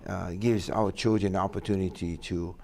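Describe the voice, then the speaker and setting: A man with a low, gravelly voice talks in an easy studio chat. His phrasing is plain and pidgin-tinged, with many pauses on "uh" as he gathers his thoughts. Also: fairly even intonation, a little mellow, a little hiss or hum. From Hawaii. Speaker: foundation founder, co-host.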